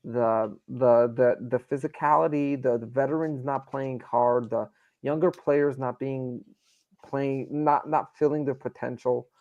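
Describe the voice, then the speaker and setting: A man's voice: medium pitch, steady tone, unhurried, darkish tone, no echo, highs cut off. A man from New York arguing hockey opinions emphatically in a casual live stream, often repeating himself for emphasis. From New York, United States. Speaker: sports fan show panelist. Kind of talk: casual live sports debate.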